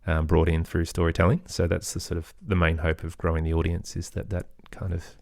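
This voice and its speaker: Low, gravelly middle-aged man in conversation. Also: flat delivery, a little fast, no room sound, clean studio sound. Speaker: interview guest, podcaster and musician. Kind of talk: conversational interview answers.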